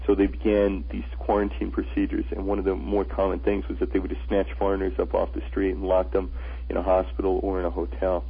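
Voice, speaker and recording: A man's voice: low voice, completely flat delivery, quick pace, vocal fry, slightly rough. A man who is the guest on a radio interview, an author and traveler telling his stories earnestly, often restarting his sentences. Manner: earnest storyteller, frequent restarts. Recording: tinny phone-line radio audio.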